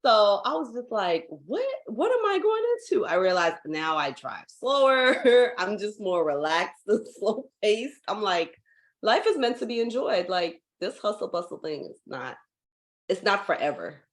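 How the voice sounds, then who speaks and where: A young woman from Guyana, a foundation founder, talking casually as a guest in a video-call interview, full of "like" fillers and lively while telling stories. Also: medium pitch, measured pace. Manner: chatty, many "like" fillers, animated when telling stories. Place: home in Hawaii.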